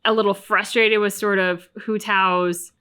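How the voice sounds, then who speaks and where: A woman's voice: mid-range voice, level tone, unhurried, a bit bright, clear, resonant tone. A woman co-hosting a reaction channel, casually discussing a video game and acting out bits of what characters say.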